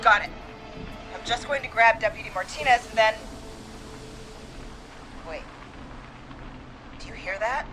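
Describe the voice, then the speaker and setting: An actress plays a small-town dispatcher woman in a horror game, frightened but gutsy. Her voice sits fairly high. Music plays clearly behind her. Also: measured pace, pauses often, natural voice. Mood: hushed, wary, determined. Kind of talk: video game voice acting.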